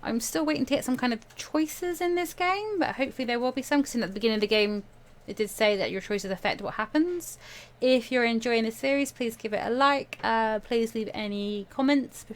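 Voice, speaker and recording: A woman's voice: on the high side, a little fast. A woman hosting a game playthrough, reading a visual novel aloud expressively with casual commentary.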